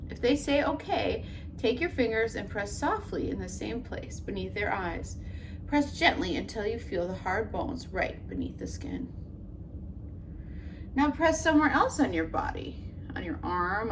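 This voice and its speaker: A woman teacher reads a picture book aloud to children in a gentle, expressive storytelling way, asking questions of her listeners, then talks it over with them. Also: mid-range voice. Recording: noticeable background noise.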